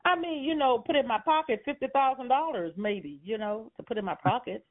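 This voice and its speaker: A man's voice: very high-pitched, moderate pace. A man in his sixties, a homeowner on a phone call, talking hesitantly with many fillers and short laughs. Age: 60s. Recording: tinny phone line.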